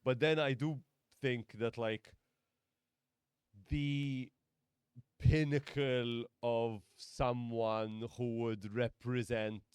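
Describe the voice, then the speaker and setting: A deep-voiced man, a comedian and podcast guest, talking casually, often hesitating and restarting his sentences. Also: flat delivery, drawn-out words, darkish tone, clear, resonant tone, no room sound. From Malta.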